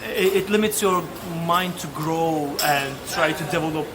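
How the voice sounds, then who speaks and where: A man in his thirties with a Turkish accent, telling his story as a podcast guest in candid, halting English full of restarts. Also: on the high side, crowd noise. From Turkey. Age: 30s. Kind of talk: casual interview chat. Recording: noisy ambient background.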